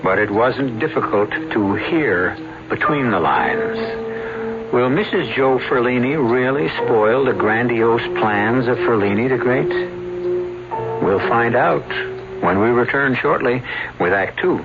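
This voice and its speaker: A man hosting a radio drama with measured, theatrical, ominous scripted narration. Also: mid-range voice, lively intonation. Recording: tinny narrowband radio, music behind.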